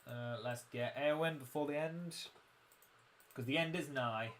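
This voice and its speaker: A young man commentating casually on his own game livestream, his voice croaky, chatty and self-mocking as he explains the mechanics. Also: medium pitch, unhurried, a bit bright, slight background noise. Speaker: game streamer.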